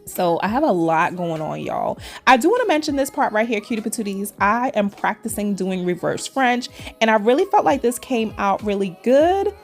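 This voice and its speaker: A woman's voice: mid-range voice, expressive, moderate pace, hardly any pauses, soft background music. A woman in her forties with a Trinidadian and New York accent, chatting casually to viewers while she does her nails. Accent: Trinidadian mixed with New York. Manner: chatty, addresses viewers as cuties, says y'all. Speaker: nail vlogger.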